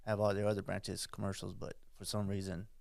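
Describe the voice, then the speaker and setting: A man's voice: deep voice, completely flat delivery, a little fast, gravelly, a little mellow, dry recording, clean studio sound. A man in his forties from South Texas, a veteran guest answering in an interview, plainspoken and hesitant. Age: 40s. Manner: plainspoken, hesitant, many fillers. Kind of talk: casual interview.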